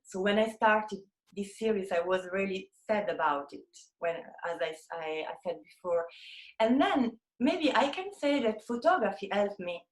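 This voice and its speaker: An Italian woman speaks English in a gentle voice of middle pitch, stopping often to search for the right word. She is recorded over a video call, and the room around her adds a noticeable echo. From Italy. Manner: hesitant, searching for words with many fillers. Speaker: photographer, guest. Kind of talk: interview.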